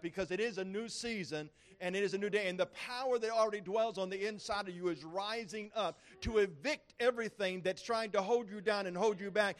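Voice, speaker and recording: A man preaching a prophetic word at a church meeting, fervent and building in intensity, with halting restarts. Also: high voice.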